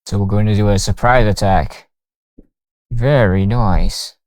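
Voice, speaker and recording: A man doing casual game stream commentary, muttering short reactions and haltingly reading on-screen text aloud. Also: on the low side, steady tone, a little mellow, dry recording. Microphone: streamer microphone over game audio. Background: faint game music.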